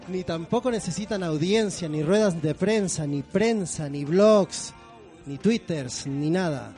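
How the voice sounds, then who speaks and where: A man's voice: sits high, takes it slowly, dark tone, soft background music. A middle-aged man from Peru hosting a live poetry segment before an audience, moving from theatrical introductions to loose, self-mocking asides.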